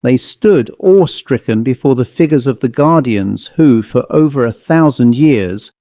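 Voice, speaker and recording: A man narrating a scripted story as an audiobook reader, in a measured, deliberate manner. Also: mid-range voice, expressive, warm tone, no room sound, studio quality.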